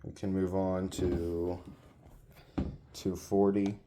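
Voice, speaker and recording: A man giving a casual, unscripted hobbyist demo, muttering haltingly to himself. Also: sits low, level tone. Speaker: hobbyist demonstrating weather radios. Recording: clear background noise with clicks.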